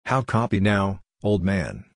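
A man's voice: sits low, very animated, measured pace. A man reading short scripted practice phrases as a drill narrator, one clipped phrase at a time. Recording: clearly noisy audio with clicks.

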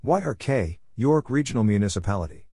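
A man reading short scripted items in a plain, deliberate announcing manner, spelling out letters and naming places. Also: fairly low pitch, expressive, mellow, slight background noise. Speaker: Morse code practice narrator.

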